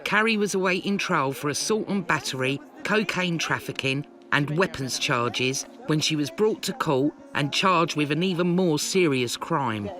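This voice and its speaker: A man narrating a documentary in a measured, informative scripted read. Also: fairly high pitch, expressive, bright, forceful tone. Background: music.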